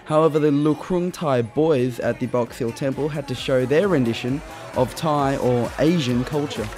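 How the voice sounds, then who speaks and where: A man's voice: mid-range voice, moderate pace, over loud music. Young male host, mid-range voice, upbeat and jokey narration. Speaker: show host. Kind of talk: scripted TV narration.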